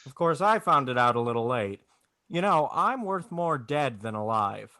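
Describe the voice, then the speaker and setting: A man's voice: medium pitch, lively intonation, moderate pace, sharp tone, dry recording, clean studio sound. A man playing a despairing, wry, bewildered family man with a stammering, folksy manner, in 1940s radio drama style. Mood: bitter, despairing. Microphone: video call.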